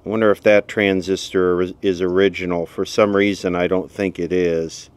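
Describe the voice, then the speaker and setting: A man's voice: low-pitched, flat delivery, normal speed, clean tone. A man giving casual, off-the-cuff commentary as he looks over vintage electronics, with dry, sardonic asides. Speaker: vintage electronics repair host.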